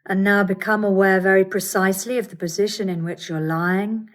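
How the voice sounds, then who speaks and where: A woman teaching yoga nidra, guiding a meditation in a calm, soothing, deliberate way with pauses. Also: medium pitch. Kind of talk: guided meditation.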